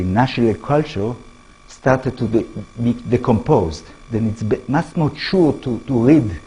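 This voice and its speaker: A man with an Israeli accent, a native Hebrew speaker, giving a public talk as an author, animated and full of rhetorical questions to the audience. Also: medium pitch, breathy edge, low-quality recording. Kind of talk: public lecture.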